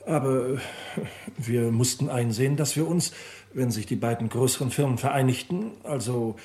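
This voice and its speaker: A man's voice: mid-range voice, moderate pace, warm tone, lightly acted. A man playing a cautious, reserved company representative in a radio crime drama, formal and reluctant in his answers. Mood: guarded.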